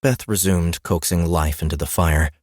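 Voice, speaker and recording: Man, low voice, fantasy audiobook narration, dry close studio sound. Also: normal speed, darkish tone, no echo. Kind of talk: scripted fantasy fiction narration. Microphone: close studio mic, dry booth.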